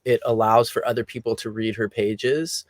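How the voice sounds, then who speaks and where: An American man hosting an interview on a remote call, relaxed and conversational with frequent ums. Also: fairly low pitch, normal speed, no echo. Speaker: podcast host. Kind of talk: casual interview.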